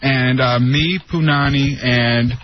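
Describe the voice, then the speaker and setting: A man's voice: mid-range voice, steady tone, takes it slowly. Plain-voiced man running a quiz game. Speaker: game organizer. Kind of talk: casual radio banter. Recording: dull lo-fi radio with faint noise.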